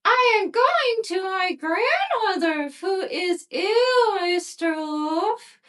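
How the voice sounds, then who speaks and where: A woman voicing a sweet young girl in a red cloak through a ventriloquist doll, speaking high, slow and sing-song. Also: animated, fairly bright tone, heavily acted. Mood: innocent.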